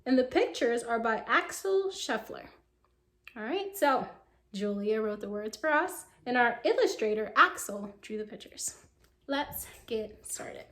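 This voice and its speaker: A woman teacher reading a picture book aloud to children, expressive and encouraging, asking her young listeners questions. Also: on the high side, a little slow. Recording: roomy echo with faint background noise.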